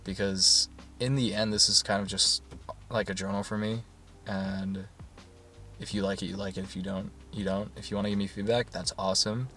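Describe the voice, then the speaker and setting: A man talking in a soft, soothing voice in a casual rambling monologue, full of fillers and restarts. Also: fairly low pitch, level tone, normal speed, soft background music. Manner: soft-spoken, meandering, many fillers and restarts. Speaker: YouTuber.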